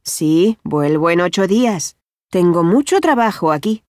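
A friendly, unhurried bank worker. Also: female voice, fairly low pitch, expressive, a little mellow, dry recording, clean studio sound. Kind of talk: language-course dialogue acting. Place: dry studio.

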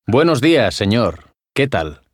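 A man's voice: medium pitch, very animated, measured pace, no echo, clean studio sound. A curious, chatty young man. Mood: friendly. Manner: chatty, inquisitive. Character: curious, friendly young man, also a vendor and a neighbor. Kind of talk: language-course dialogue acting. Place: dry studio.

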